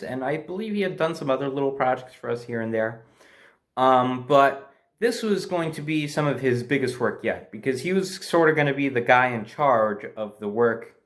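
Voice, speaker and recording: Male storyteller, mid-pitched voice, casual and unscripted, hesitant, backtracks to correct himself.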